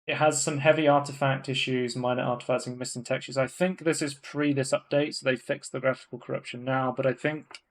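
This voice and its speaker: A man chats through gaming news on a live podcast from a home room. He rambles, restarts his sentences and fills pauses with ums. His pitch sits squarely in the middle range. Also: little pitch movement. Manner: rambling, many fillers and restarts. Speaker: podcast co-host. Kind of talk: casual gaming news chat.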